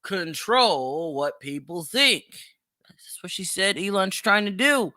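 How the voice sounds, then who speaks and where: A man's voice: high voice, animated, slow, bright, forceful tone, no room sound, clean studio sound. A man hosting a podcast, giving opinionated reaction commentary in a brash, profane, exclamatory way. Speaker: podcast host.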